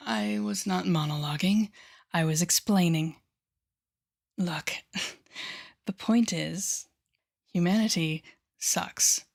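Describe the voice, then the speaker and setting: Casting: sardonic supervillainess played by a woman, lowish voice, theatrical and teasing, secretly soft-hearted, intimate audio drama delivery. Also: gravelly, heavily acted. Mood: exasperated, scornful. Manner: sardonic, theatrical, teasing, with sighs and deliberate pauses. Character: haughty, sardonic telepathic female villain, secretly soft-hearted. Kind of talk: intimate audio roleplay acting addressed to the listener.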